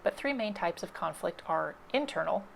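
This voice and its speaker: A woman's voice: lowish voice, animated, normal speed, gravelly, slight background noise. A woman library presenter giving scripted instructional narration, explaining things step by step.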